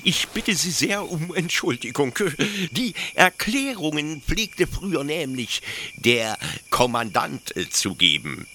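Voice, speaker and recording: A man acts out a zealous young officer of a penal colony in a radio play. His voice is sharp and very bright, swinging widely as he explains with pedantic, halting pride. There is faint noise behind him. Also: on the high side, sing-song, heavily acted. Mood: apologetic, defensive. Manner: hesitant pedantic explaining, with stammered pauses. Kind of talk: German literary radio play acting.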